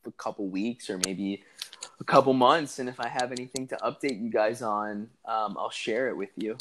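Male guest around thirty, fairly low voice, earnest and reflective, casual chat with many fillers. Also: moderate pace, fairly bright tone, a little hiss or hum. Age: 30s. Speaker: podcast guest.

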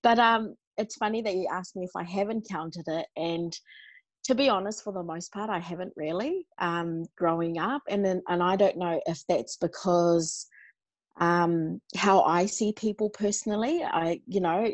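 Relaxed woman from New Zealand, dry sound. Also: lowish voice, normal speed, no room sound. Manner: relaxed and reflective, with many fillers. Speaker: podcast guest. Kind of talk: personal storytelling in conversation.

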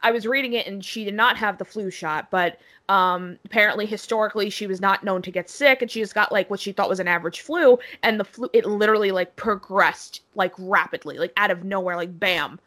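A woman's voice: medium pitch, fairly quick, few pauses, a bit bright. A young adult woman co-hosting a casual podcast, a little nasal and congested from a cold, talking frankly and swearing freely. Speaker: podcast co-host.

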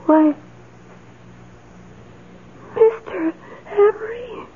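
An actress playing a lonely, timid, lovelorn housemaid no longer young, hesitant and wistful, in 1970s radio drama style. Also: female voice, very high-pitched, drawn-out words, background noise, exaggerated voice. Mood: tremulous wonder. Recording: muffled, phone-like 1970s radio recording.

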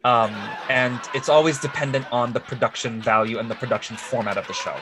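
A man's voice: mid-range voice, steady tone, brisk, background noise. A man co-hosting a podcast, explaining things in a casual, jokey, conversational way. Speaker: podcast co-host.

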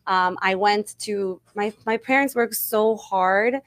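A woman's voice: mid-range voice, slow pace. A woman in her twenties from Miami, a singer-songwriter guest, speaking earnestly and heartfelt with lots of "you know" and "like". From Miami, United States. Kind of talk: casual interview chat.

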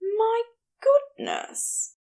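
A woman voicing a fussy, gentle, affectionate man who speaks in a prim, gushing way in an audiobook. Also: very high voice, huge pitch swings, drawn-out words, vocal fry, pitched above the performer's own voice, heavily acted. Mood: embarrassed. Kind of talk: audiobook character acting.

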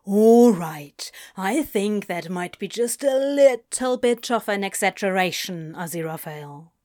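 A woman voicing a laid-back teenage boy who talks in a low, unhurried drawl. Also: expressive, dark tone, no room sound, studio quality, lightly acted. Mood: soothing.

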